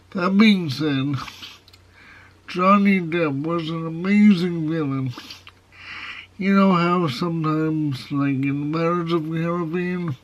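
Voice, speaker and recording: A man with a nasal, foghorn-like voice reviewing a movie in a casual video. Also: fairly high pitch. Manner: slow, measured delivery with plain opinions. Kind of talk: casual film review to camera. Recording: dull, lo-fi sound.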